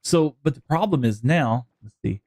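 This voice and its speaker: Man, Texan accent, live-stream chatter, rambling, jokey, mid pitch, dry close sound. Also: expressive, moderate pace, darkish tone, dry recording. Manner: rambling, jokey, talks to chat. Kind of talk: live-stream gaming chat.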